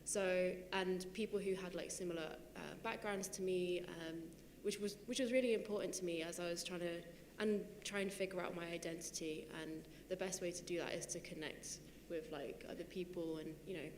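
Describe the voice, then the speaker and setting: A woman's voice: fairly low pitch, steady tone, fairly quick, few pauses, echoey room, in a large hall, a little hiss or hum. A woman from Nottingham, England who founded a magazine, speaking reflectively on a panel. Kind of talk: panel talk on stage. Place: large theatre stage.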